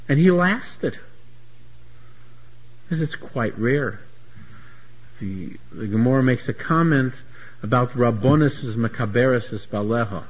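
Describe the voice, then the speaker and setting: Husky man giving a Talmud lecture. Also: lowish voice, dull audio. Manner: searching restarts, repeats words while explaining. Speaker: Talmud teacher.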